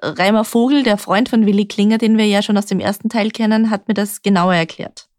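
A woman reporter hosting a true-crime podcast, explaining the case in a measured way. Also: medium pitch, steady tone, darkish tone, no room sound, studio quality. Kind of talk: scripted true-crime narration.

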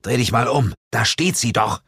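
Ein Mann spielt einen gereizten, knurrenden Geisterjäger, angehoben. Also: male voice, medium pitch, normal speed, a bit bright, breathy edge, no echo, studio quality, pitched above the performer's own voice. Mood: irritated. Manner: growling, exasperated. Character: irritable, insistent male ghost hunter hero.

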